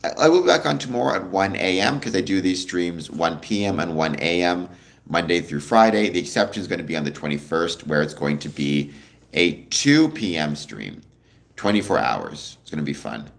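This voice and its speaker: A young man hosting a casual livestream, answering viewer comments in a relaxed, rambling way with a deep voice. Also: normal speed, creaky. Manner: relaxed, rambling, many ums, answers chat questions. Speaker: livestream host.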